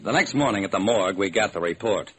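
A man's voice: on the low side, expressive, quick pace, close to an everyday voice. An actor playing a hardboiled American private detective who wryly narrates his own case in an old-time radio drama. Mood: wry, brisk. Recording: noisy old radio recording.

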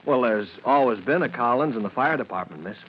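A man's voice: mid-range voice, animated, fairly quick, lightly acted. An actor playing an earnest, deferential young rookie fireman, easily flustered, in an old-time radio drama. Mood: earnest, respectful. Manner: deferential, stammers when flustered. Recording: muffled, noisy old radio recording.

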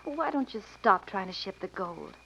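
An actress playing an anxious, affectionate young frontier sweetheart in an old-time radio drama. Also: female voice, mid-range voice, lively intonation, moderate pace, gravelly, a bit bright. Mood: tender, worried. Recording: muffled, noisy old radio recording.

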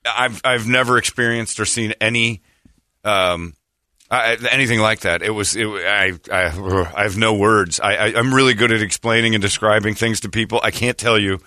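A hoarse man hosts a morning radio show and rambles through a story, stumbling and restarting as he goes. His voice sits a little low but sounds bright and forceful. The studio sound is dry and close. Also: fairly even intonation, normal speed, no room sound. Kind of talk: casual morning show chat. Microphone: studio mic.

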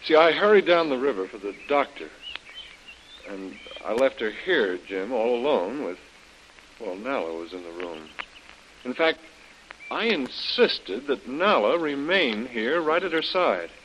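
A man playing a troubled, devoted young planter in an old radio drama, speaking haltingly and with regret. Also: medium pitch, big pitch swings, a bit bright. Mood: self-reproachful. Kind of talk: 1940s radio drama acting. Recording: muffled, noisy old radio recording.

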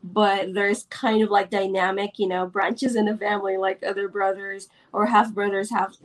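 A woman's voice: medium pitch, level tone, moderate pace. A woman from the Philippines, a business owner being interviewed, hesitant with many fillers and restarts, over a video call. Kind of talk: casual interview.

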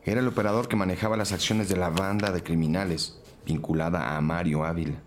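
An actor playing a serious investigator briefing colleagues in a crime audio drama. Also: male voice, sits low, fairly even intonation, fast talker, a little hiss or hum, lightly acted. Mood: serious, matter-of-fact.

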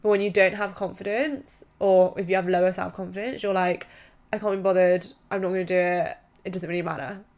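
A woman's voice: on the low side, steady tone, fairly quick, darkish tone. A woman in her twenties with a stuffy nose, hosting a casual solo podcast and giving chatty, encouraging advice full of "like" fillers. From United Kingdom. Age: 20s. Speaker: podcast host.

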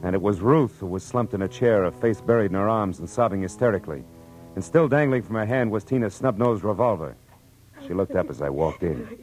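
Male actor as hard-boiled American gumshoe, very low voice, world-weary and clipped, vintage radio drama with music. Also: animated, fairly quick, a little mellow, natural voice, poor audio. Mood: grim narrating. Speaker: lead actor and narrator. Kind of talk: 1940s radio acting, first-person narration and dialogue.

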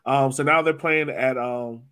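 A man's voice: medium pitch, steady tone, a little slow, crowd noise. A man from Virginia with an American accent, hosting a casual soccer podcast in an informal, slangy way. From Virginia, United States. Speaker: sports podcast host.

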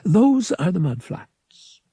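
A man voicing an alien priest who guides pilgrims, speaking in a formal, measured, explanatory way. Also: high voice, sing-song, warm tone, slightly rough, dry recording, pitched above the performer's own voice, heavily acted. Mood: formal, proud.

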